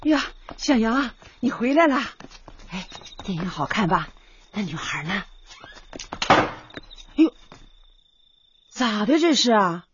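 A woman's voice: mid-range voice, sing-song, slow, pauses often, lo-fi sound, noisy background. An actress playing a thrifty, doting middle-aged mother in a radio drama, homely, fretful and coaxing. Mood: concerned.

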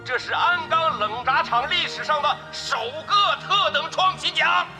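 An actress playing an official announcer proclaiming honours in a radio drama, formal and ceremonial. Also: female voice, high-pitched, unhurried. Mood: proud, ceremonial. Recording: music behind the voice.

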